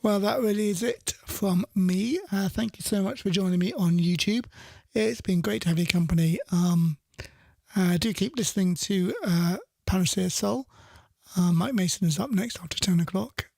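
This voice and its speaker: A man's voice: high voice, moderate pace, vocal fry, darkish tone, no echo. A man presenting a radio show casually, chatty and rambling, often correcting himself mid-sentence. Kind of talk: casual radio presenting between soul songs.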